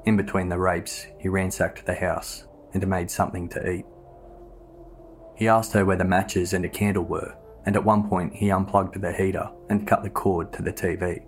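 Low, flat-toned male narrator. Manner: measured, matter-of-fact delivery. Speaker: narrator of a true crime podcast. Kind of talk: scripted narration.

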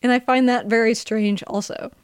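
A woman's voice: a little high, steady tone, normal speed, clear, resonant tone, no room sound. Woman podcast host, close dry sound. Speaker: podcast host interviewing a friend. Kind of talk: conversational podcast interview.

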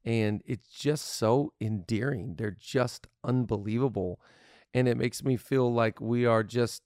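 A man's voice: mid-range voice, darkish tone, dry recording, studio quality. A man hosting a casual podcast on leadership, upbeat and playful, laughing easily with his co-host.

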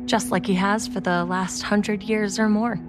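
A woman's voice: mid-range voice, little pitch movement, measured pace, music in the background, natural voice. A woman playing an earnest, enthusiastic young girlfriend in an audio story, sincere and matter-of-fact.

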